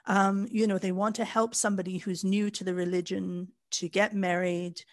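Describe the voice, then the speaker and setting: A woman's voice: medium pitch, flat delivery, moderate pace. A woman with a Canadian accent co-hosting a podcast, talking in long, thoughtful explanations with some ums. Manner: thoughtful, talks in long explanatory sentences with fillers. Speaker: podcast co-host. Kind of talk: casual podcast chat.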